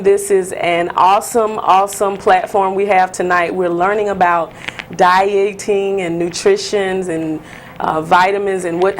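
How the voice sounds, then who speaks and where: A woman's voice: mid-range voice, slight background noise. An American woman hosting a live talk show from a studio, chatting informally and addressing viewers directly.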